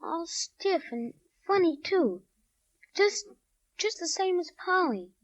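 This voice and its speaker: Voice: very high voice, sing-song, slow pace, dry recording, heavily acted. A performer acting an eager, chatty young schoolboy in a 1950s American radio drama. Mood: troubled. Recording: muffled 1950s radio broadcast recording.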